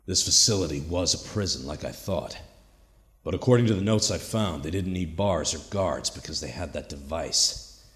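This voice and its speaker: Haunted tired soldier doing a survival log, super deep voice, brooding, big echoey reverb, audio drama. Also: male voice, normal speed, fairly bright tone. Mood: grave, curious. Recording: echoey hall with long reverb.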